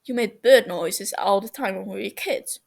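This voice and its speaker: A woman's voice: medium pitch, normal speed, vocal fry, darkish tone. A woman reading a story as a cheeky, blunt teenage boy who affectionately teases his best friend. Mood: teasing. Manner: teasing, blunt.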